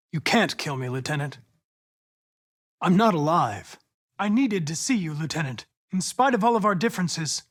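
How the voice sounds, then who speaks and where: An actor playing a polite, earnest young male android police investigator in a video game, speaking in precise, formal, measured diction. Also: high-pitched, dry recording, studio quality. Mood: composed, earnest. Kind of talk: scripted video game dialogue.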